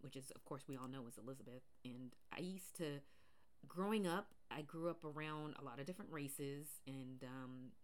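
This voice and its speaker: A woman from the US Pacific Northwest with a deep voice, talking casually and rambling with fillers on her solo podcast. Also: normal speed. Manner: rambling, many fillers and restarts. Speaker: podcast host.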